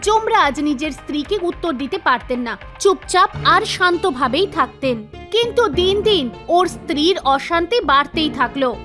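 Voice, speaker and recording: A woman narrator reading a scripted animated story for children. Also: high voice, normal speed, faint music behind.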